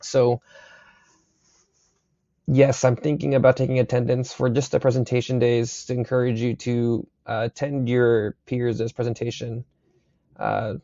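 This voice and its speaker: A young man recording a university engineering lecture late at night, his voice raspy, talking casually with many fillers and checks like "right?". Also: mid-range voice, little pitch movement, a little slow, warm tone, no room sound. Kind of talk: recorded lecture over slides. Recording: slightly dull dry recording.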